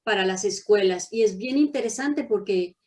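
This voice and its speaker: A woman's voice: mid-range voice, moderate pace, a little mellow. A woman moderating an online community meeting, conversational, with hesitant fillers as she adds context and hands the floor to speakers. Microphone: video call.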